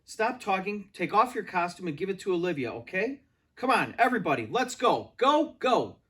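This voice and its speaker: A man voicing an angry, stressed male school drama director, shouting and barking orders in a read-aloud story. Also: high-pitched, sing-song, moderate pace, breathy edge, pitched above the performer's own voice, full character voice. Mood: angry, urgent. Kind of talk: audiobook-style character reading.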